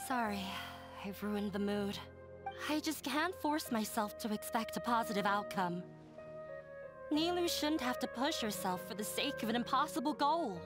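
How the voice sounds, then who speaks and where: A woman playing a blunt, pessimistic young woman in a video game, speaking in a measured way. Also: a little high, expressive, close to an everyday voice. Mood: apologetic, glum. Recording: game music behind the voice.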